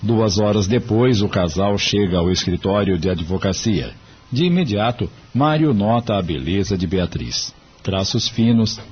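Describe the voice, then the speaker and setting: Male Brazilian narrator for an audio novel, lowish and very animated; muffled, noisy recording. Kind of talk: scripted dramatic narration. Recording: muffled lo-fi audio, clear background noise.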